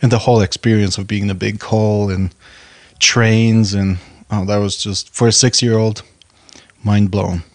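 A man from Poland with a lowish voice, the sort of musician guest heard reminiscing on a closely miked podcast. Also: level tone, moderate pace, no room sound, studio quality. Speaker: orchestral violinist, podcast guest. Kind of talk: personal anecdote, conversational.

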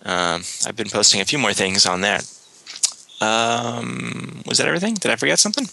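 A man's voice: medium pitch, normal speed, gravelly, sharp tone, a little hiss or hum, low-quality recording. A man hosting a podcast, giving casual announcements with lots of fillers and restarts, a bit under the weather with a cold. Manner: rambling, many fillers and restarts. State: slight cold. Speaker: podcast host.

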